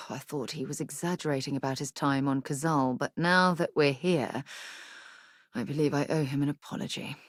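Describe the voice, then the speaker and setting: A woman voicing an earnest, principled space explorer and loyal companion in a video game, speaking in an articulate, measured way. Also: sits low, fairly even intonation, dry recording, clean studio sound, close to an everyday voice. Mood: composed. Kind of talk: video game character voice lines.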